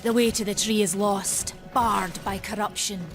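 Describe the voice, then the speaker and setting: A woman's voice: mid-range voice, steady tone, moderate pace, close to an everyday voice. An actress playing a proud, stubborn female forge keeper who talks in old-fashioned, plainspoken terms, with game music behind her. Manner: archaic, plainspoken, bargaining.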